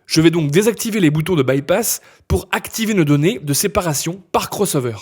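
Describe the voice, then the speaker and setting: A man's voice: on the high side, animated, brisk, a little mellow, breathy edge. A man narrating a scripted tutorial as an instructor, explaining things step by step.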